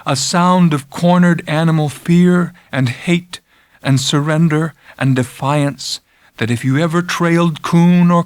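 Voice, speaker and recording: A man reading a literary first-person story as an audiobook narrator, dramatic, intense and deliberate, with hushed drops and swells. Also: medium pitch, no echo.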